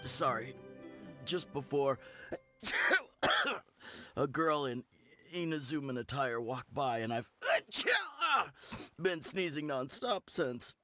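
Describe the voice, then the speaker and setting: A man playing an ailing, apologetic townsman in a video game, halting and congested with coughs and sneezes. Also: a little high, sing-song, sharp tone, soft background music, heavily acted. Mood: sickly, hesitant. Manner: halting, hesitant. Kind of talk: video game cutscene voice acting.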